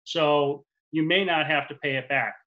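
A man's voice: fairly high pitch, fairly even intonation, moderate pace, lo-fi sound. A man in his forties giving a webinar talk as a lender, explaining at length with frequent restarts, speaking through a headset mic on a video call. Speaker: commercial lender. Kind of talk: webinar presentation. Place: office with printed backdrop. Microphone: headset boom mic on a video call.

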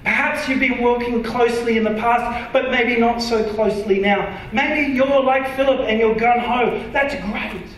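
An Australian man preaching a sermon from a church stage, earnest and emphatic, sometimes dropping to a soft, intimate tone. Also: extremely high pitch, steady tone, normal speed, few pauses, a little hiss or hum. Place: large dark church auditorium stage. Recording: very echoey hall from far off.

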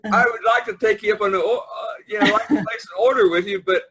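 A middle-aged man hosting a casual group chat over an online call, talking in a rambling, halting way and repeating himself. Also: sits high, a bit bright, slightly rough, rough recording. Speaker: podcast host. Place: online video conference call.

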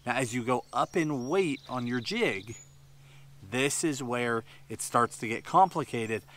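A man's voice: mid-range voice, a little hiss or hum. Man teaching fishing from a boat. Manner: explanatory, animated hand gestures. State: sweating in humid heat. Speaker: fishing instructor. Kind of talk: outdoor tutorial to camera. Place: seated on a bass boat outdoors by a tree-lined shore.

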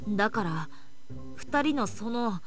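A woman voicing a calm, kindly young woman in a visual novel, speaking in a soft, reassuring way. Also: medium pitch, slow pace, soft background music.

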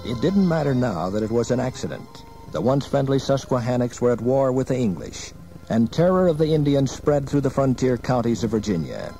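A man's voice: medium pitch, very animated, a little fast, warm tone, soft background music, low-quality recording. A man narrating a dramatized history from a script, as a storyteller.

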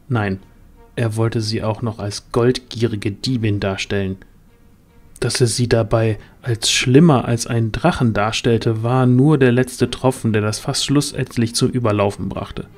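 A man's voice: mid-range voice, a little mellow. A man narrating a scripted fantasy audio drama as the storyteller.

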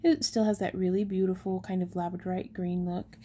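A chatty woman, showing handmade stones in a casual shop update, talking softly and excitedly over the camera. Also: lowish voice, a little fast, husky. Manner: chatty and excitable, drops into soft whispers over pretty stones. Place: indoors at a craft table.

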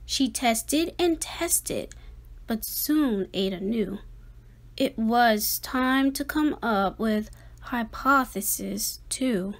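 A woman reading a rhyming children's picture book aloud with expressive, dramatic and deliberate phrasing. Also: on the high side. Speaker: storybook reader.

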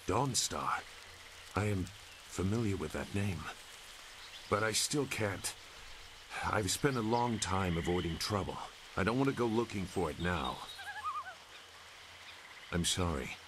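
A man's voice: sits low, expressive, unhurried, pauses often. A man playing a reluctant, world-weary, guarded loner warrior in a video game, speaking tersely. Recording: clear noise behind game dialogue.

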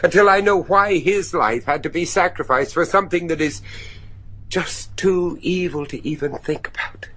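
An actor playing an anxious, grieving older father, a judge, in a gothic horror radio play, speaking in a halting, theatrical way. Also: a little high, pushed and bright. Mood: anguished, pleading.

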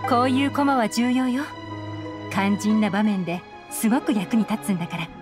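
A woman's voice: mid-range voice, animated, moderate pace, music in the background, close to an everyday voice. A woman voicing a shrewd, secretive young intelligence agent in an anime game, composed, knowing and slightly teasing. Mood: cool, calculating.

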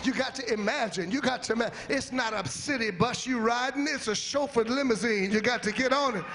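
A man's voice: extremely high pitch, fairly quick, sharp tone, slight background noise. A middle-aged American man preaching a fervent, rhythmic and emphatic church sermon into a handheld microphone. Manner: rhythmic, emphatic, repeats phrases to rouse listeners. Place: large church hall, on stage at a podium. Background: live music.

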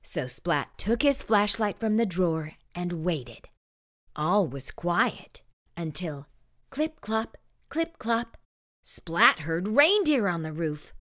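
A woman reading a children's storybook aloud expressively, with deliberate, dramatic pauses. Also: on the low side, very animated, a bit bright. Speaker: storytime host and narrator.

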